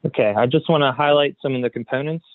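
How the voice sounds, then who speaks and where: A man presenting a software webinar as a consultant, explaining slides in a hesitant way padded with "you know". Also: mid-range voice, fast. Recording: phone-like narrow band, roomy echo.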